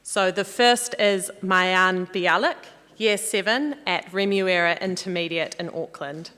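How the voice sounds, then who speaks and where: Lower-pitched New woman in echoey hall. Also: a little slow, sharp tone, slight background noise. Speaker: master of ceremonies. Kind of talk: formal ceremony announcements. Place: large indoor hall, public address. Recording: echoey hall, long reverb.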